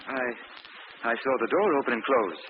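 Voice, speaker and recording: A man's voice: medium pitch, very animated, a little fast, lightly acted. A man acting a nervous, eager professor, a flustered junior accomplice, in 1940s radio style. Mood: startled. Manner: flustered, stammering. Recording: muffled, noisy old radio broadcast.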